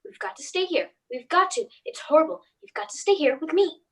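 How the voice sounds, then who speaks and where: A frightened mother in a radio play, acted by a woman, with a very high voice. She falters and repeats herself as she pleads. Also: lively intonation, a little fast. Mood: terrified pleading. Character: Mrs. Morris, terrified, pleading mother.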